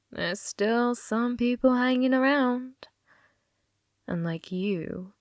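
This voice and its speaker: A woman playing a possessive, manipulative schoolgirl childhood friend in an ASMR roleplay, coy and teasing, with breathy, sweetly menacing delivery. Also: mid-range voice, no echo, clean studio sound. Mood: quietly threatening. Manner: coy, teasing, drawn-out phrasing dropping into breathy whispers.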